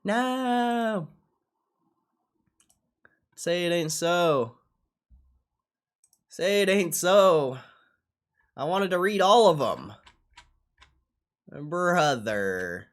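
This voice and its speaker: A man's voice: high voice, sing-song, very slow, pauses often. A young man chatting casually on a livestream in slangy, sweary speech, often repeating his phrases as he reacts. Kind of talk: casual livestream chat, reacting to what is on screen.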